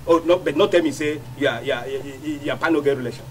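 An actor playing a bullying, mocking police officer, speaking with a Nigerian Pidgin accent. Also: male voice, a little high, fast talker, a little mellow, slight background noise, close to an everyday voice. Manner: gruff, mocking, threatening. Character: bullying male police officer, middle-aged.

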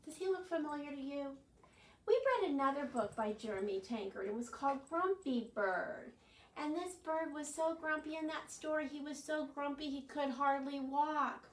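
A woman in her sixties presenting a children's storytime, expressive and often prompting the children with questions. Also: sits high, normal speed. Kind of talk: children's storytime, singsong chanting and read-aloud. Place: small furnished living room, indoors. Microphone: camera a few metres away. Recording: roomy, distant camera microphone.

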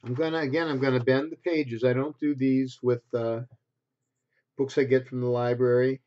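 A man's voice: mid-range voice, normal speed. A man in his sixties reading a picture book aloud to preschoolers, expressively and in simple phrases with little asides. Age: 60s. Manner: expressive, simple phrasing aimed at young children. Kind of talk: read-aloud children's storytelling with asides. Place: small bedroom at home, indoors. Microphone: computer webcam at desk distance. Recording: dull lo-fi webcam sound.

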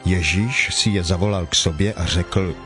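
A man reading scripted narration for a dramatized scripture production. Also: sits low. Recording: faint music behind the voice.

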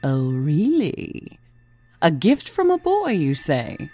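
An actress playing a tactful, gently probing woman in an audio drama. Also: low-pitched, wildly swooping pitch, slow, soft background music, full character voice. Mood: light teasing.

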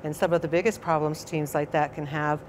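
A woman researcher explaining her work in an interview, measured and explanatory. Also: low voice, little pitch movement, darkish tone, slight background noise.

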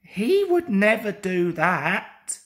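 An anxious young boy engine from a storybook , acted out by a woman. He talks slowly and earnestly, with a fretful edge and a very mobile pitch. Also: big pitch swings. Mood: worried.

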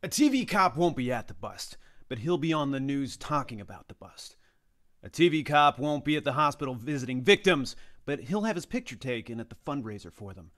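A man playing a hard-boiled veteran eighties street cop, lecturing gruffly and emphatically in an action comedy table read. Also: mid-range voice, very animated, brisk, a bit bright. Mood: scornful. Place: indoors on a group video call.

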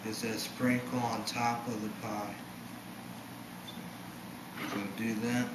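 A young man casually demonstrating a recipe in his home kitchen, talking to the camera in a rambling, halting way that keeps correcting itself. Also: medium pitch, flat delivery. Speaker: home cooking vlogger. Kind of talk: casual cooking demo to camera. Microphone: camera mic from across the room. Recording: roomy home video with loud hiss.

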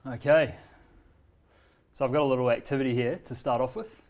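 A man, giving a casual workshop demonstration in a meeting room with many fillers and pauses. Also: medium pitch, fairly even intonation. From New Zealand. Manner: standing, walking between screen and laptop. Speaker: presenter demonstrating a learning tool. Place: bright medium-sized meeting room with a screen, indoors. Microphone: far camera.

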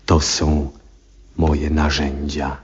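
Old male astronomer, devout and brooding, husky, slow and deliberate, theatrical radio drama, muffled old recording. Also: extremely low pitch, completely flat delivery, full character voice. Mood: awed conviction. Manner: solemn, reflective, theatrical, deliberate phrasing. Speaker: actor in a radio play. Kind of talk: 1970s Polish radio drama monologue. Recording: dull vintage radio recording.

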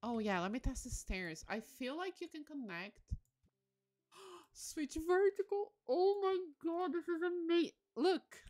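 A woman chatting casually on a game livestream, excitable and gushing, often breaking into exclamations. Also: very high voice, slow pace, faint music behind. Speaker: live streamer.

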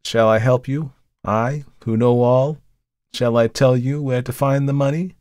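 Male reader as polite supernatural tempter in a gothic tale: calm, measured, insinuating, pitched up from narration. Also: dry recording, clean studio sound, natural voice. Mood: cool, persuasive. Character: unearthly male devil-like visitant, polite, calm, knowing tempter.

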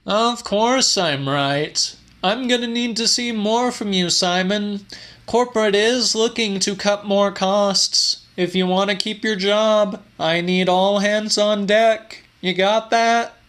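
Man putting on an overbearing, high-pitched scolding boss. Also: slow pace, a bit bright. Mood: scolding, demanding. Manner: condescending, emphatic. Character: overbearing, scolding male office boss in corporate jargon. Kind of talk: audiobook character voice.

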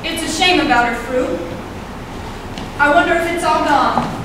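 A young woman on a school stage playing a loyal, sharp-tongued farm neighbor who speaks bluntly and defensively. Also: high voice, moderate pace, close to an everyday voice. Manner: blunt, defensive, plain-spoken. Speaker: student actor. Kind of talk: amateur stage acting. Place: high school auditorium stage. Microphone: far camera in the audience. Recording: echoey hall, far off, noisy.

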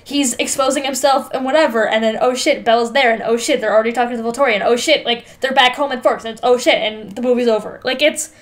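Youngish woman podcaster, kinda high voice, super informal, swears a lot and really leans on her points. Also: steady tone, quick pace, hardly any pauses. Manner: informal, sweary, emphatic, frequent "like". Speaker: podcast co-host. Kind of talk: casual film discussion chat.

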